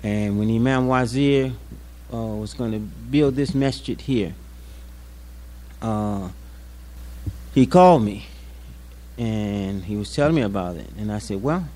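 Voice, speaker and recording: A man's voice: fairly low pitch, slow, pauses often, warm tone. An older man with an American accent speaks unscripted at a commemorative event, telling personal stories in a plainspoken, folksy way like an imam addressing his community. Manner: plainspoken, folksy storytelling with acted-out quotes. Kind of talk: unscripted public speech with personal anecdotes.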